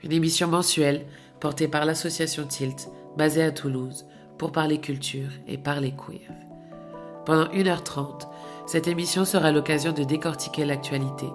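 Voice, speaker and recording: A woman with a low voice opens a community radio show with a scripted introduction. She reads it in a measured, list-like way. Music plays clearly under her voice in the studio. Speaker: radio host.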